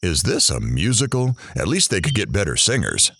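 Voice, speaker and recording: An actor plays a bored, impatient male onlooker muttering sardonic complaints in an audio drama. His low voice swings widely in pitch and has a gravelly creak. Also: huge pitch swings, moderate pace, a bit bright, a little hiss or hum, heavily acted.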